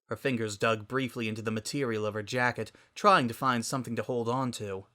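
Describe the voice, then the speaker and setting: A narrator reads a scripted story in a dramatic style. The voice is bright and forward, at a medium pitch. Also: steady tone, brisk.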